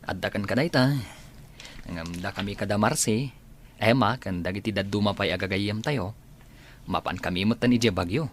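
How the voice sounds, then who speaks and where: A man's voice: lowish voice, moderate pace, pauses often, slight background noise, natural voice. An actor playing a practical young college man in a radio drama, speaking with a Filipino accent. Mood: friendly, inquiring. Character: Tindo, practical young male college friend. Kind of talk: Ilocano radio drama acting.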